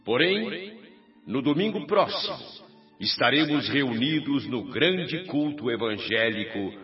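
Radio drama: revered governor, declamatory and authoritative, very measured pace, music behind, slightly dull recording. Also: male voice, medium pitch, lively intonation. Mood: solemn, benevolent. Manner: solemn, declamatory, emphatic. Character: venerable male spirit-colony governor addressing his people, serene, authoritative. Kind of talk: radio drama acting, public address.

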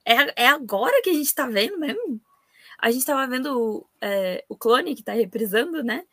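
A woman with a Brazilian Portuguese accent hosting a casual podcast interview, talking in an animated, emphatic way and often exclaiming. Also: high voice, normal speed, no room sound, studio quality. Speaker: podcast host.

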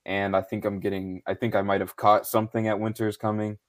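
A man's voice: lowish voice, little pitch movement, brisk. A man co-hosting a live podcast, chatting casually and loosely, swearing a lot and saying "bro" and "man". He is losing his voice. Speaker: podcast co-host. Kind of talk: casual live-stream chat.

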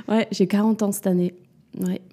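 A woman around forty speaks candidly as an interview guest, pausing often and restarting her thoughts. Her voice is very warm and mellow, sitting at a middle pitch. She sounds reflective rather than performed. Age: 40s. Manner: hesitant and reflective, many fillers and restarts. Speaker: podcast guest, mother and business owner.

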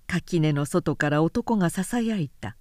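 A woman narrator reading literary fiction aloud in a measured, expressive storytelling manner. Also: lowish voice, no echo, clean studio sound. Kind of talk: literary audiobook recitation.

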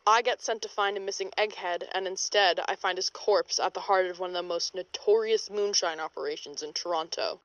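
A woman's voice: mid-range voice, fairly even intonation, a little fast, hardly any pauses, a bit bright, no echo, dull audio, lightly acted. A woman playing a hard-boiled, world-weary female paranormal investigator in a 1920s noir audio drama, with wry, slangy delivery. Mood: brisk, wry. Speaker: investigator and narrator. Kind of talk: audio drama, noir-style recorded case statement.

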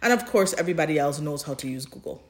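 A woman's voice: low voice, animated, quick pace. A woman co-hosting a podcast in casual chat, speaking emphatically and repeating phrases for emphasis. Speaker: podcast co-host.

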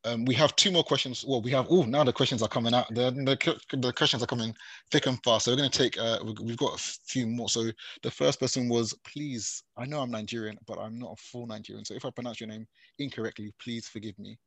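A man's voice: medium pitch, fairly even intonation, fast, a bit bright, breathy edge, no echo. A man moderating an online Q&A who stumbles and repeats his words.

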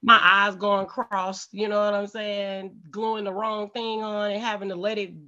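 A woman from St. Louis chats on a podcast in a raspy, congested voice. She tells her stories bluntly and animatedly, with plenty of swearing. The audio sounds a little dull and muffled. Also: mid-range voice, measured pace. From St. Louis, USA. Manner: blunt, profane, animated storytelling. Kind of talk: casual, candid podcast chat.

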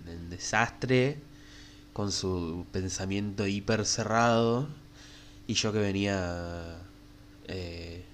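A man's voice: on the low side, slight background noise. A young man with a Rioplatense Argentine accent, hosting a casual solo podcast while he has a cold, with a stuffed nose and breathing through his mouth. He rambles frankly and crudely, with plenty of fillers. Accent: Rioplatense Spanish. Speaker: podcast host.

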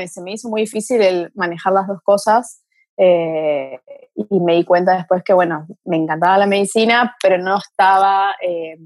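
A woman. She rambles through her answers with fillers in a middle-pitched voice. The sound is dry and close, with no room echo. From Brazil. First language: Portuguese. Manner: chatty, thinks aloud with fillers. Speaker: guest, mentor to mothers. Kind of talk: casual interview chat.

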